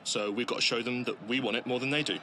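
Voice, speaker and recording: A man's voice: mid-range voice, fairly even intonation, fast, close to an everyday voice. A man playing an earnest, matter-of-fact male sports pundit in an audio story. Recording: noisy outdoor ambience behind voice.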